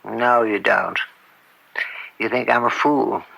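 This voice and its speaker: An actor playing an older judge in an old-time radio drama, upright and weary, speaking deliberately with a gravelly, dryly sardonic edge. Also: male voice, fairly low pitch, fairly even intonation, bright, forceful tone, no echo, exaggerated voice, rough recording. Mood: indignant, resolute. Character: upright, weary veteran judge and father, proud and stubborn.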